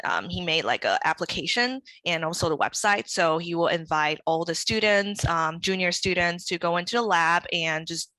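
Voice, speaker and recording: A woman's voice: on the low side, bright, forceful tone, no room sound, low-quality recording. A young woman guest in her twenties, not a native English speaker, chatting hesitantly with many fillers on a video call over a headset mic. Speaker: guest, web designer. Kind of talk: casual interview chat. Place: small room at home. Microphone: headset with boom mic, video call.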